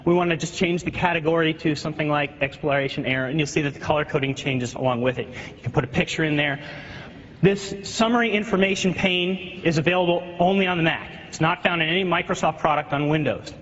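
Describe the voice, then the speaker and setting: A man in his thirties presenting a software demo live on stage in a large auditorium, explaining features with frequent restarts. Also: on the high side, quick pace, a little hiss or hum. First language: not French. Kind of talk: live keynote product demo. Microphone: stage mic, heard through the hall. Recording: dull lo-fi recording with long hall reverb.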